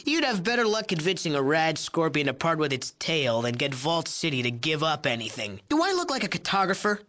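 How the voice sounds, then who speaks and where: An actor playing a cocky, amoral young drug chemist in a video game, sarcastic, whiny and leering, prone to stammering when flustered. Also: male voice, on the high side, very animated, fairly quick, sharp tone. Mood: scornful, irritated. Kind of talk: video game character dialogue.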